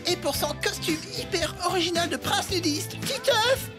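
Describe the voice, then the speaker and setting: Excited young cartoon announcer, super high, drawn-out proclaiming, loud music behind. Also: female voice. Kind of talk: French animated cartoon dialogue.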